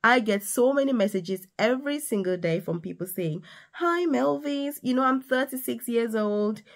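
A woman in her thirties, a nurse and career coach, talking straight to the viewer in an animated, emphatic casual vlog from a small room. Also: a little high, normal speed. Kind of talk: casual direct-to-camera vlog. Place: small indoor room with decorated wall.

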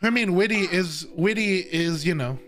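A playful man with a fairly high voice talks off the cuff on a gaming livestream. He jokes and banters with his viewers in a casual way while game music plays clearly behind him. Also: moderate pace, a bit bright. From Vietnamese. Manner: playful, joking, laughs often. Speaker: game streamer.

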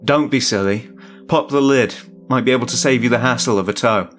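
A man's voice: mid-range voice, fairly quick, a bit bright, faint music behind, voice pushed up, close to an everyday voice. A man voicing a calculating killer father who feigns casual, reassuring helpfulness in a horror story. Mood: falsely friendly.

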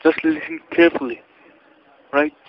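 Male phone agent, slightly high voice, officious and stern, muffled lo-fi call audio. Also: steady tone, moderate pace. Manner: stern, officious, stilted phrasing. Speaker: scam caller posing as tax agent. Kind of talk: scripted phone spiel. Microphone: telephone line. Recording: dull, lo-fi phone call recording.

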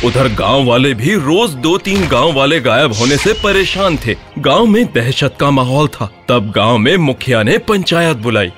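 A man's voice: medium pitch, lively intonation, moderate pace, hardly any pauses, a bit bright. A man narrating a scripted story. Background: light music.

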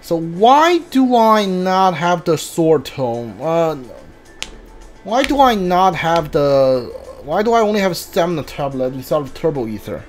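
A gamer giving casual, hesitant commentary on a playthrough, often muttering to themselves in a halting way. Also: mid-range voice, big pitch swings. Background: video game music.

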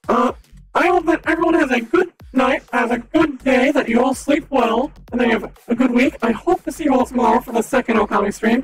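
A man with a strikingly high, slightly raspy voice who chats upbeat and casually to a live stream audience. He speaks into a desk mic. Music plays clearly underneath him. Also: poor audio. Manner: chatty, upbeat, talks to chat.

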